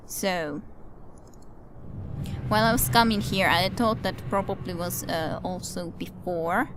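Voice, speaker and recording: Woman, fairly low voice, unhurried, casual gaming commentary, musing and hesitant, noticeable background noise. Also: poor audio. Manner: hesitant, musing, repeats words. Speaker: let's play commentator. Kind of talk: casual game commentary, thinking aloud.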